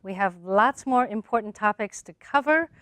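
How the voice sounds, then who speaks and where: A woman's voice: medium pitch, lively intonation, normal speed, no room sound. A woman hosting a panel talk show, asking questions and closing the episode. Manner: warm affirming listener, many soft mm-hmms.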